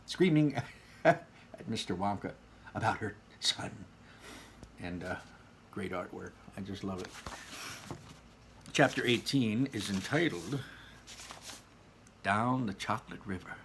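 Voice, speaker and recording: Animated man reading a storybook aloud. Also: lowish voice, takes it slowly, pauses often, slight background noise. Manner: dramatic, emphatic, repeats phrases for effect. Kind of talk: animated storybook narration with casual asides.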